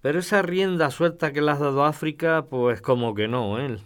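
A man's voice: fairly high pitch, brisk, a bit bright, clear, resonant tone, close to an everyday voice. An actor with a Spain accent playing a proud, stubborn, grumbling old man in an audio drama. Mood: grumbling, confiding. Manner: emphatic, grumbling, scolding. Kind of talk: audio fiction podcast acting.

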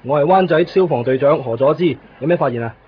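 An actor playing a brisk, dismissive fire brigade captain in a 1990s Hong Kong Cantonese radio drama. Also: male voice, fairly high pitch, natural voice. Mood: commanding. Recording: muffled radio recording with clear background noise.

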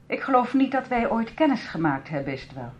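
A woman's voice: fairly high pitch, sing-song, a little fast, husky, lo-fi sound. A woman playing a proud, wary young upper-class lady in a radio drama, speaking formally and easily turning indignant. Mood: indignant, impatient. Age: young adult.

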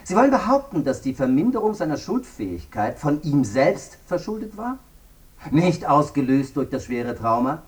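Distant, probing defence lawyer. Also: male voice, fairly high pitch. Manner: probing, argumentative. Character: challenging, sceptical male lawyer arguing for the accused. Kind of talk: German radio drama acting.

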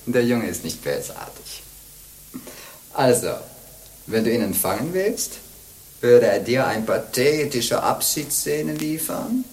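A woman's voice: rumbling low, a little mellow, full character voice. An actress in a German radio play playing a sly old witch go-between, coaxing and chuckling in a theatrical way. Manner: wheedling, chuckling, theatrical swings.

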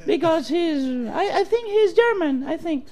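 A woman's voice: very high voice, lively intonation, slow, mellow, heavily acted. A woman playing a boastful, flirty American nun who speaks Spanish with an American accent and keeps slipping into English. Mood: giddy. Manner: giggly, mixes English into Spanish, rambling. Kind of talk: improvised comic radio sketch.

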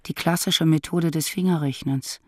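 An actress in a radio drama playing a disguised woman priest who reflectively narrates her life in measured speech. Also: deep voice, fairly even intonation, no echo, clean studio sound. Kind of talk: scripted first-person radio drama narration.

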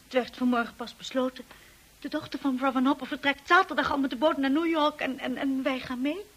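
A woman's voice: high voice, fairly even intonation, a little fast, fairly bright tone. An actress playing a shy, earnest young lady's companion in a Dutch radio drama, hesitant and emotional in delivery. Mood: downcast.

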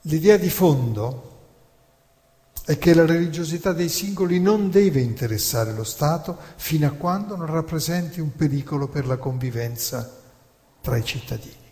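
A man delivers a theatrical historical monologue in a deliberate, emphatic, rhetorical way with dramatic pauses. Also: on the high side, expressive, warm tone. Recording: long hall reverb.

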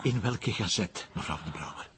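Flemish police detective, man, very raspy, impatient, interjecting, animated, crime drama. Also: fairly low pitch, moderate pace, heavily acted. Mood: probing. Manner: interjecting, hurrying the witness along. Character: impatient male police detective questioning a witness. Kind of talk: naturalistic TV crime drama acting.

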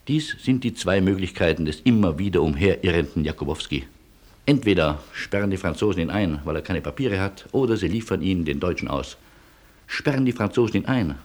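Fatalistic, witty refugee for radio drama; deep, rough-edged voice, ironic and eloquent. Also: male voice, a little mellow. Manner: ironic, mock-solemn, eloquent. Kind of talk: German radio play acting.

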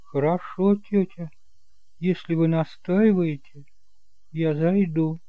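Man playing an uneasy young bridegroom, raised pitch. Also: takes it slowly, no echo, phone-quality sound.

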